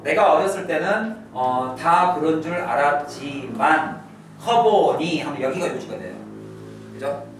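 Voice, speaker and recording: A male English teacher giving an exam prep lecture, repeating key phrases slowly and drilling his students. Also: high voice, a bit bright, very echoey, far from the mic, a little hiss or hum, rough recording.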